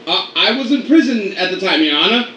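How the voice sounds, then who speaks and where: Broken gangster in the dock, rambling and frantic, bright tense tone, played by a man. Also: high-pitched, expressive, fairly quick, echoey room, far from the mic, a little hiss or hum, low-quality recording. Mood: nervous. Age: middle-aged. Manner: rambling, frantic, repeating himself. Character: broken-down 54-year-old ex-gangster on trial. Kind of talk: radio play acting.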